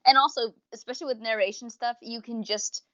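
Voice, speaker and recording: A woman's voice: on the high side, steady tone, brisk, a bit bright. A woman co-hosting a podcast, chatting casually and explaining her opinions in long, wordy, unscripted sentences. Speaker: podcast co-host. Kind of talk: casual unscripted review chat. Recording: slightly dull, lo-fi microphone sound.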